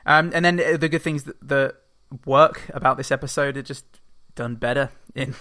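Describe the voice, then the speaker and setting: Sharp bright voiced guy giving casual opinions on a podcast. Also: mid-range voice, moderate pace. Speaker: podcast panelist.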